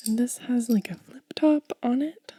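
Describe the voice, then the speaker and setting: A woman doing a whispered ASMR unboxing, breathy and gentle, lingering over her words close to the microphone. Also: a little high, unhurried, a little hiss or hum. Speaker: ASMR host.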